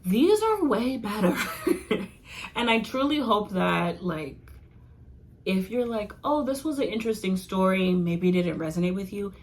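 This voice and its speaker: A woman's voice: medium pitch, expressive, a little slow. Young woman yapping away in a casual review, giggles a lot, lots of likes and ums. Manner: chatty, giggly, rambling with many fillers. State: losing her voice. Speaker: vlogger reviewing comics.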